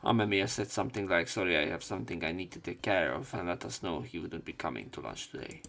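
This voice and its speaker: A man's voice: deep voice, expressive, fast talker, vocal fry, fairly bright tone. A man reading a visual novel aloud as a let's play commentator, with casual, offhand remarks.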